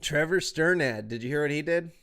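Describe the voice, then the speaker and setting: A nasal-voiced man talks loosely on a podcast, dropping swear words and laughing easily. His voice comes through smooth and clear. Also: a little high, fairly quick, fairly bright tone. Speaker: podcast co-host. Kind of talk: casual chat.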